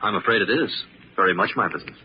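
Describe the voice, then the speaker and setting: A man's voice: lowish voice, racing, natural voice. An actor playing a cool, persistent young man in an old radio drama, wry and insinuating. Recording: muffled old radio recording, light noise.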